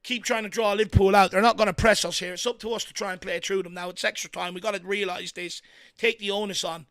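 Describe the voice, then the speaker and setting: Excitable high-voiced Irish fan, croaky. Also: male voice, fast. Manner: excitable partisan, shouts encouragement at players. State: voice going croaky from hours of talking. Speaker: fan channel host doing live match commentary. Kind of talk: watchalong fan commentary.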